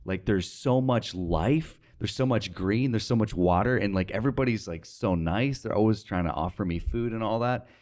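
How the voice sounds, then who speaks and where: A man's voice: lowish voice, moderate pace, few pauses, a little mellow. A young American man from North Dakota talking informally and earnestly as an interview guest, thinking aloud with plenty of "like". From North Dakota, United States. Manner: informal, reflective, earnest, with frequent "like". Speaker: interview guest, radio sales associate. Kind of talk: casual conversational interview.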